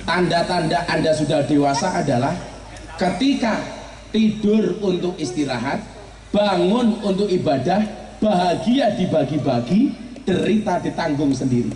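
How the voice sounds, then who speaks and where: A man's voice: a little high, animated, measured pace, darkish tone. A middle-aged man, giving a humorous sermon and teasing his audience. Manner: joking, teasing the audience, mixing regional dialect. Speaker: preacher. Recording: roomy, from far off, crowd and noise behind.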